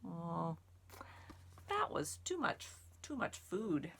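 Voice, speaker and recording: A woman reading aloud to young children in simple, expressive, word-by-word phrases. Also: fairly low pitch, slow, gravelly, a little hiss or hum. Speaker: storytime reader and sign language teacher. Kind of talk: children's read-aloud and sign lesson.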